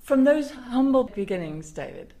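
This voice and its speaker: A woman's voice: on the high side, sing-song, moderate pace, dark tone. A woman working as an interviewer, asking questions in a conversational style.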